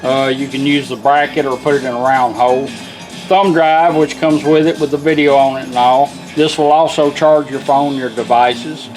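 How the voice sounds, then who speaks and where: A man's voice: medium pitch, fairly even intonation, moderate pace, a little mellow, rough recording. A man giving an informal, plain-spoken, step-by-step demonstration of a product. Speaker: car radio builder giving a product demonstration. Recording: music playing behind the voice.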